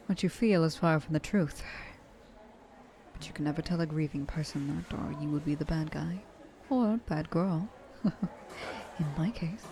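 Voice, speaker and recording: A woman's voice: low voice, background noise. A woman voicing an ancient, seductive, possessive vampire trillionaire in an intimate, poised ASMR roleplay. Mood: detached, worldly.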